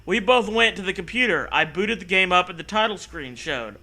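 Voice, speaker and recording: Sarcastic, high-pitched, very bright-voiced man. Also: lively intonation, a little fast. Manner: sarcastic, profane, exclamatory. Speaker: comedy show host reading a story aloud. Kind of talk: mocking read-aloud with casual riffing.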